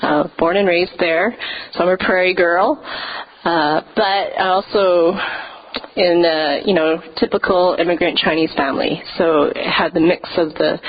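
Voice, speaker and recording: A woman pastor speaks to her congregation with a somewhat low voice, telling her own faith story in a relaxed, conversational way full of small pauses. The sound is a dull, lo-fi church recording taken through the hall's microphone. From Canada. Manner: casual and conversational, with frequent hesitations. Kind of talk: personal testimony at a church service. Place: church sanctuary.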